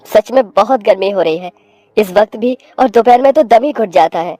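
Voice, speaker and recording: A man's voice: high-pitched, moderate pace, mellow, no room sound, faint music behind, lightly acted. A man voicing a weary, earnest poor young husband and father in a children's cartoon, humble and apologetic. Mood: tired, caring. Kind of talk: Hindi children's cartoon story voice acting.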